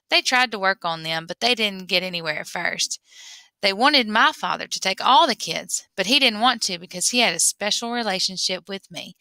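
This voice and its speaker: A woman reading a memoir aloud in an expressive storytelling way. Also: medium pitch, creaky, dry recording. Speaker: reader.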